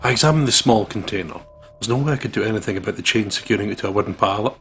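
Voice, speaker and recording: A man's voice: on the low side, rapid-fire, a bit bright, faint music behind. A man reading visual novel narration aloud as a let's player, with casual reactions.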